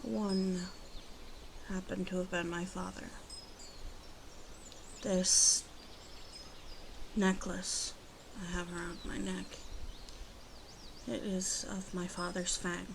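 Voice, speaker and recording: A woman voicing a guarded, grieving female werewolf in an ASMR roleplay, halting and brooding, often dropping to breathy half-whispers. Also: on the low side, little pitch movement, noisy background. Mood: grieving, grim. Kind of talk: ASMR roleplay monologue addressed to listener.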